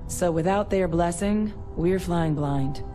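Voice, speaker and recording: An actress playing an earnest former military scientist in a sci-fi video game, speaking in a measured, explanatory way. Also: female voice, lowish voice, mellow, soft background music. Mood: serious, explanatory. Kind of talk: video game dialogue acting.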